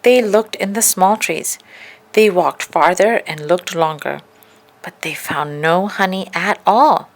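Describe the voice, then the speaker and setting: A woman reading a children's story aloud in an expressive, deliberate narrating style. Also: fairly low pitch. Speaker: storybook reader.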